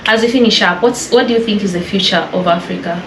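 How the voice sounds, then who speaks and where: Woman interviewing over clear background noise. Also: mid-range voice, fast talker. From Kenya. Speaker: host, interviewer. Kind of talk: casual vlog interview. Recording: clearly noisy background ambience.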